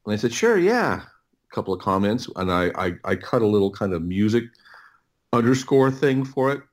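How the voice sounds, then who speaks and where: A middle-aged man speaking as a podcast guest, telling stories casually and haltingly, often repeating words as he thinks. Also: lowish voice, very animated. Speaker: podcast guest, audio producer. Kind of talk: casual interview storytelling.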